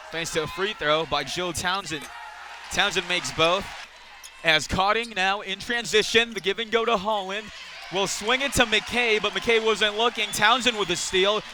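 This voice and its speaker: A man's voice: high voice, normal speed, crowd noise. A man doing live radio play-by-play of basketball, describing the action in clipped phrases that rise with the play. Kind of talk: live sports commentary. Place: indoor basketball arena, courtside. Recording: noisy arena with court sounds behind.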